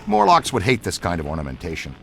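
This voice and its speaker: Earnest, animated ghost investigator. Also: male voice, sits low, fast talker, creaky, a little hiss or hum, heavily acted. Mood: puzzled, awed. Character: earnest, excitable middle-aged male paranormal investigator.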